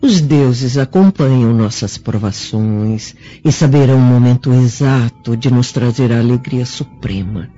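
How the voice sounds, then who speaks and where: Mellow, reassuring Roman friend played by an actor. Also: male voice, medium pitch, close to an everyday voice. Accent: Brazilian Portuguese. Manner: formal, theatrical, consoling delivery. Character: pious, hopeful, reassuring Roman family friend. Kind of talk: Brazilian radio drama acting. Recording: muffled radio drama recording with music.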